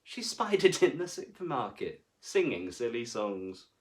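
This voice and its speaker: A man reading to children as a storyteller, with expressive, deliberate and dramatic phrasing. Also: mid-range voice. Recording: voice far from the mic.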